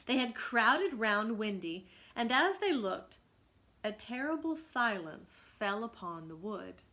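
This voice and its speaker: Woman, read-aloud storyteller, unhurried, bright, expressive, teacherly. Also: a little high. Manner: dramatic, teacherly. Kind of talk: expressive children's story reading with questions to listeners.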